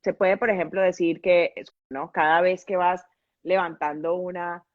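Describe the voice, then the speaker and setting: A low-pitched woman with a Colombian accent answers interview questions in a reflective, explanatory way. The sound is dry and close, though dull and lo-fi. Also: fairly even intonation, moderate pace, dry recording. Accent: Colombian Spanish. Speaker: podcast guest and grief facilitator.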